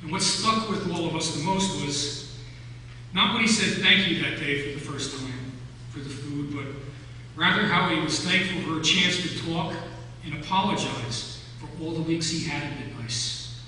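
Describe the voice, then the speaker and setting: An older man preaching a sermon from a church pulpit, delivering stories in a measured, deliberate way with pauses between phrases. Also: on the high side, pushed and bright. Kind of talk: sermon, telling stories from notes. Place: large church sanctuary, at the pulpit. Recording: echoey church hall from far off, hum.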